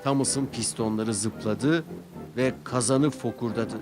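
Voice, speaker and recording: A man's voice: mid-range voice, slow, music in the background. A man narrating a scripted children's story as a cartoon narrator.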